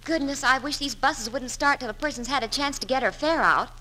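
A woman's voice: sits high, fairly quick, pushed and bright, poor audio. A woman playing a tired, chatty, harried housewife in a 1940s radio advertisement, grumbling and exclamatory. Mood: flustered, grumbling. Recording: vintage 1940s radio, faint noise.

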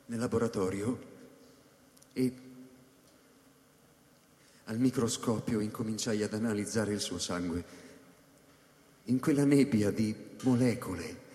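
Troubled scientist in echoing space. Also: male voice, fairly low pitch, dark tone. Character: troubled male scientist recounting his own story, Kelvin. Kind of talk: dramatic first-person narration, radio drama. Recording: echoey hall with long reverb.

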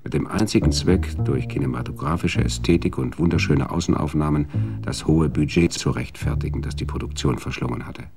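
A man with an extremely deep, gravelly voice narrates a radio drama in a dry, measured way. Faint guitar music sits behind him.

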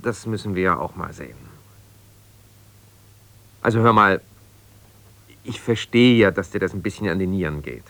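An actor playing an evasive, ambitious lawyer whose marriage has broken up, talking haltingly and reassuringly yet with exasperation in a naturalistic radio drama. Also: male voice, low-pitched, vocal fry, fairly bright tone. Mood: soothing, strained. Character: ambitious lawyer husband, evasive, placating, strained, newly separated. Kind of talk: naturalistic 1970s German radio drama, one-sided phone calls.

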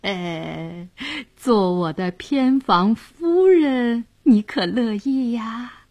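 A lecherous, tipsy nobleman played by a woman storyteller, pompous and wheedling. His words drag out slowly and his pitch lurches up and down like a stage villain's. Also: animated, darkish tone, exaggerated voice. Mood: leering wheedling. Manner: leering, slurred, drawn-out wheedling. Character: lecherous, drunken, self-important nobleman villain.

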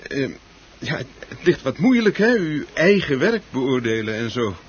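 A man's voice: medium pitch, very animated, normal speed, slightly rough. Hemming, apologetic club chairman, swooping intonation. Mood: awkward, evasive. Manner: hesitant, hemming, formally polite. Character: apologetic, officious club chairman, politely evasive man. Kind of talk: radio play acting. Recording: dull, slightly noisy old radio recording.

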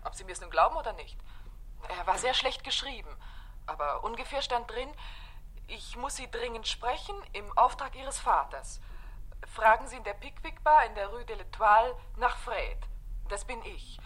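An actress playing a composed, slightly defensive young wife in a German radio crime drama. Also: female voice, on the high side, animated, normal speed, a bit bright. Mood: defensive, explaining.

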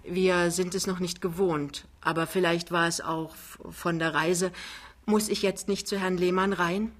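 A woman voicing a timid, earnest young husband in a dramatized book reading, speaking hesitantly and quietly. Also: lowish voice, fairly even intonation, unhurried. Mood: anxious, hesitant. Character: timid, anxious young husband seeking a job.

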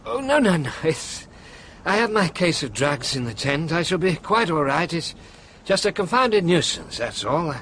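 Radio drama doctor: high, swooping delivery, courteous, wry, formal, some background noise. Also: male voice, big pitch swings, moderate pace, fairly bright tone. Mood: apologetic, flustered. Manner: urbane, formal, theatrical phrasing. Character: courteous, wry, suspicious older male French doctor.

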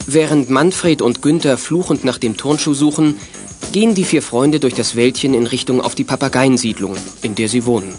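A man's voice: mid-range voice, moderate pace, a little mellow, soft background music, natural voice. A man narrating a children's detective radio play as its storyteller. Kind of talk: scripted narration.

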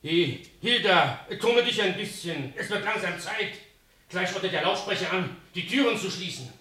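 An actor playing a bossy, anxious innkeeper in a radio drama, giving orders in a commanding, hurried manner. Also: male voice, high-pitched, animated, sharp tone. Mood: bossy, urgent. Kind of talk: German radio drama acting. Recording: roomy, distant, very noisy scene.

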